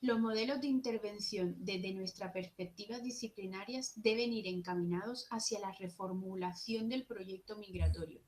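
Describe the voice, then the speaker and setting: Young woman, Jerez Andalusian accent, conference speaker, mid-pitched voice, mixes reading aloud with spontaneous explaining. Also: moderate pace, few pauses, poor audio. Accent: Jerez, Andalusian Spanish. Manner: switches between read-out passages and lively spontaneous explaining. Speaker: conference speaker on social work. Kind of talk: academic talk to an audience.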